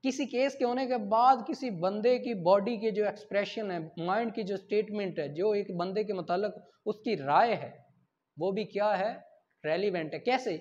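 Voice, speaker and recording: A man's voice: sits high, normal speed. A man with a Pakistani accent lecturing as a law teacher, explaining through examples, asking and answering his own questions and mixing in English terms. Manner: code-switches into English terms. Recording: dull lo-fi lecture audio.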